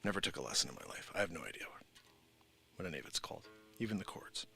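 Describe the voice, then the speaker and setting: A man chatting casually and excitedly as he hosts a live music stream, rambling in slangy speech. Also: sits low, fast, creaky, husky. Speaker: livestreaming musician, host. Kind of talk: casual livestream chat between guitar playing.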